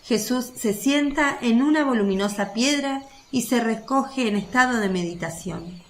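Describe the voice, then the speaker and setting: Female narrator, highish voice, scripted literary storytelling, religious radio play, faint birds and crickets behind. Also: moderate pace, slight background noise. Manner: descriptive, literary reading.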